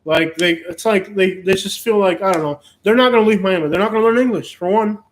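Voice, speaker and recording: A man's voice: high voice, brisk, slight background noise. A man from Cuba, hosting a casual live chat and rambling with frequent restarts and fillers. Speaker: host.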